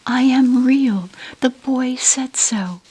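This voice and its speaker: A woman's voice: high-pitched, takes it slowly, dark tone, slightly rough, pitched above the performer's own voice, full character voice. A woman voicing a small, shy but proud male toy rabbit from a storybook in an insistent, plaintive whisper. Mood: hurt, insistent. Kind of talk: storybook character in ASMR reading.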